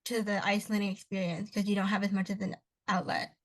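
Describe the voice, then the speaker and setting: A woman's voice: medium pitch, little pitch movement, quick pace. A young woman who grew up speaking Cantonese at home, co-hosting a casual podcast chat, talking in a rambling way with many restarts and asides. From Canada. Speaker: podcast co-host.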